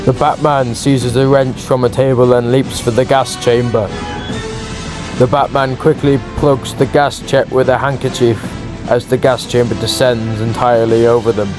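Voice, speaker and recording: A man's voice: mid-range voice, little pitch movement, moderate pace. A man narrating a comic-book audiobook in a dramatic, scripted storytelling style. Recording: background music.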